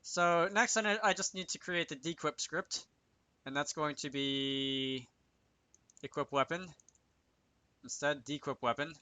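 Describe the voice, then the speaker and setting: Bright-voiced man thinking aloud while coding. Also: a little high, unhurried, long pauses, clean tone, no room sound. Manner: self-talking, wry asides. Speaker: video creator demonstrating game programming.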